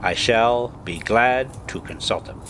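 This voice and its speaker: A man's voice: mid-range voice, a little slow, a bit bright, a little hiss or hum, lightly acted. A man voicing an eager, single-minded scholarly uncle in an adventure storybook, with emphatic declarations. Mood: eager, confident.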